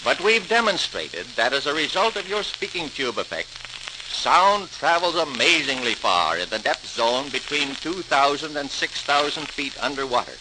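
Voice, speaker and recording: Male authority figure, formal and decisive, expressive intonation, old-time radio drama with heavy surface noise. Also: fairly high pitch, moderate pace. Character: authoritative senior male official presiding over scientific tests. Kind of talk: 1940s-style radio docudrama acting. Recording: noisy, sizzling old-time radio recording.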